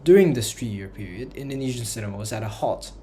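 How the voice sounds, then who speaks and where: A man's voice: medium pitch, animated, fast talker, mellow, slight background noise. A man reading a scripted history narration for a personal school project, in careful textbook phrasing. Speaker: student narrator.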